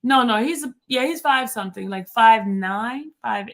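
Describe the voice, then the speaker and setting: A woman hosting a casual livestream chat, reading viewer comments aloud in an animated way. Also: a little high, moderate pace. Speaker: livestream host.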